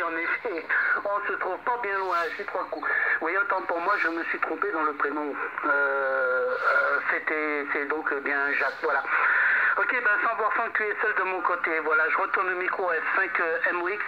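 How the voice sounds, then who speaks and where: Frenchman over very noisy, muffled radio. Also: fairly high pitch. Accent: France. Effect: radio transmission. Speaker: amateur radio operator. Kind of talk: casual ham radio contact.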